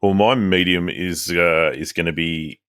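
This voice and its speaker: A man's voice: low voice, fairly even intonation, unhurried, fairly bright tone, clear, resonant tone, dry recording. A man co-hosting a casual board game podcast, dry and teasing. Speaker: podcast co-host. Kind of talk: casual chat.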